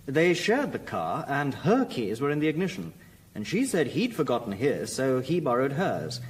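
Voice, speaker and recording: British police sidekick, keen n slightly cheeky, really swoopy intonation, radio drama, bit of hiss. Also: male voice, mid-range voice, huge pitch swings, moderate pace, rough recording. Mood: matter-of-fact. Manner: eager, questioning, slightly wry. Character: deferential male police subordinate, keen, doubting, drily cheeky. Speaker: actor playing a detective's subordinate.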